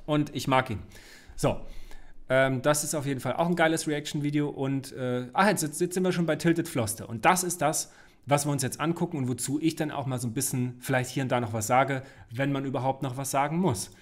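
A man's voice: medium pitch, steady tone, a little fast. A man in his forties hosting a casual reaction video from his home studio, talking in a rambling, chatty way with many restarts and self-corrections. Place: home studio with desk and shelves. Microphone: studio mic on desk, headphones.

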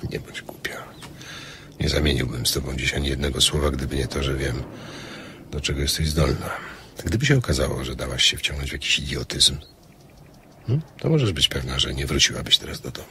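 An actor playing a guarded, dryly stern secret operative in a crime story, measured and patronizing. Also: male voice, very deep voice, animated, background noise. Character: guarded man from secret counterintelligence work, stern and dry. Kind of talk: dramatized crime novel.

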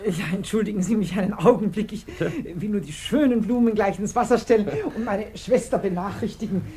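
Actress as a mellow, formal woman near sixty. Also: medium pitch, brisk, lightly acted. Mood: courteous, formal. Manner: formal, polite, composed. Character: businesslike, courteous woman near sixty receiving her suitor. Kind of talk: 1950s German radio play acting. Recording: noisy old radio recording.

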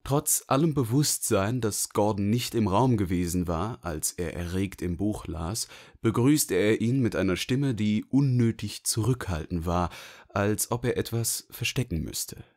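Deeper male audiobook narrator. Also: animated, a little slow, darkish tone.

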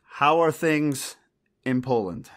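A man who hosts an interview podcast and teaches at a university, speaking in a measured way and asking plain questions. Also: medium pitch, expressive. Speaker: podcast host. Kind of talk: hosting an interview.

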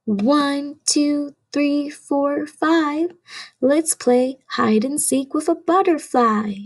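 A woman reading a children's picture book aloud as a storyteller, in an expressive way aimed at young listeners. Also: sits high, very slow.

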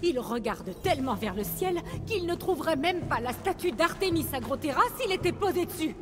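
A woman's voice: high voice, animated, brisk. A woman voicing a proud, shrewd rebel leader in a video game, with an earnest, firm and persuasive delivery. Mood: firm, reasoning. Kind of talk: video game voice acting in French. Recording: game dialogue over loud music.

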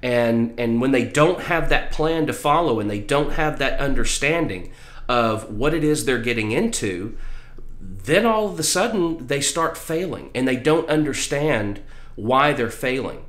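A man's voice: medium pitch, expressive, unhurried, a bit bright. A middle-aged man giving an emphatic motivational talk to camera, repeating phrases to stress his points. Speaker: vlogger giving career advice. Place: small room indoors. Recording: roomy, echoey indoor sound.

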